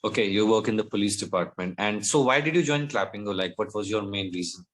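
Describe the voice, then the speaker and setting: A man tutors English with steady patience, asking simple questions and correcting mistakes gently. His voice sits on the lower side. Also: fast. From India. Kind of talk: tutoring conversation.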